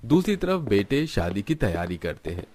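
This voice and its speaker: A man's voice: fairly low pitch, very animated, normal speed, a little mellow. A man reading scripted narration as the storyteller of a family tale.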